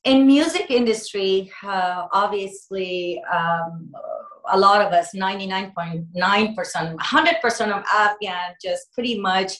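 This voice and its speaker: A woman's voice: mid-range voice, measured pace, fairly bright tone. Hesitant woman singer in an echoey room. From United States. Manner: hesitant, many fillers and restarts. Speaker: singer being interviewed. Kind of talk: casual interview answers.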